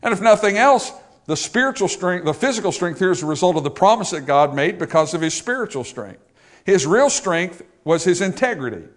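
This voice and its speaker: A man preaching a sermon, swinging from loud emphatic peaks to quiet low asides. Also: big pitch swings, fairly quick.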